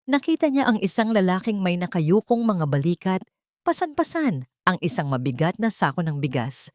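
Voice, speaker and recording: A woman's voice: fairly low pitch, big pitch swings, moderate pace, darkish tone, dry recording, studio quality. A woman reading a scripted dramatic story with a solemn, sentimental delivery. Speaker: audio story narrator.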